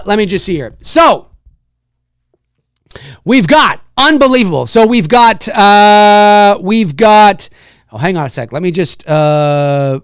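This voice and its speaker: A hoarse man with a fairly high voice hosts a live stream in a casual chat. He talks excitedly, shouts out supporters loudly and trips over his words as he restarts. His voice sounds close and dry, with no room around it. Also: no echo. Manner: excitable, loud shout-outs, many restarts and fillers. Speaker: livestream host.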